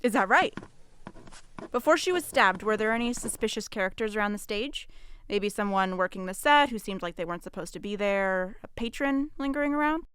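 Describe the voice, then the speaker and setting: A woman's voice: mid-range voice, expressive, a little fast, a bit bright, a little hiss or hum, close to an everyday voice. A woman playing a wry, weary police detective in an audio drama, questioning in a dry, probing manner. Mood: probing, firm.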